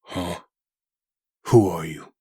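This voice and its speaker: A gruff undead antihero, acted by a man for a comic dub. The voice is very deep and heavily rasped, recorded dry and close. He sounds baffled by the hellish world he has landed in. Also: slow pace, mellow, dry recording, full character voice. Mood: irritated, baffled. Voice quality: husky, gravelly low voice.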